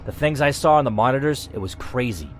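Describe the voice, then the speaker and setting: A man puts on a steady, cautious male lab worker from a horror game. He reasons calmly, with a mid-range voice that moves up and down, over light music. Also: mid-range voice, quick pace, close to an everyday voice. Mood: insistent. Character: steady man who works at a secret lab, cautious mediator.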